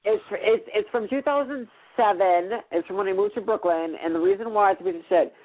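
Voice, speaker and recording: A man's voice: high-pitched, brisk. Rambling, anxious young man, tinny sound. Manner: rambling, anxious, full of restarts and fillers. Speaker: podcast co-host. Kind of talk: casual chat.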